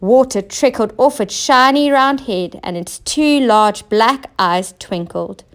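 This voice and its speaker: A woman narrating a children's storybook in an expressive, deliberate way, with emphasis on key words. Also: a little high. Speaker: teacher reading stories aloud.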